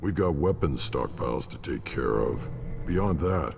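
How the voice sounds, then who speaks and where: A man playing a gruff, battle-hardened alien scout commander in a video game, speaking bluntly in a gravelly voice. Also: rumbling low, lively intonation, unhurried, darkish tone, full character voice. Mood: gruff, matter-of-fact. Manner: blunt, matter-of-fact. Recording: long reverb, clear background noise.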